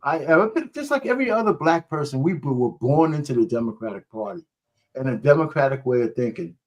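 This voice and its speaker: A man's voice: medium pitch, expressive, fast, lo-fi sound. A man arguing his point in a heated podcast debate, emphatic and often repeating himself. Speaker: panel guest.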